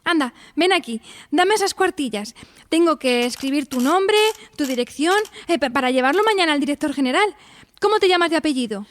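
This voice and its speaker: An actress plays an earnest young woman in a radio drama. Her voice is clearly high, and she speaks with determination in a theatrical style. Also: lively intonation, brisk. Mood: eager.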